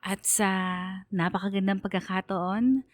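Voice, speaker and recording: A woman's voice: medium pitch, measured pace, no echo, studio quality, natural voice. Woman as a sincere, troubled young storyteller. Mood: wistful, confiding. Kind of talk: first-person radio drama narration.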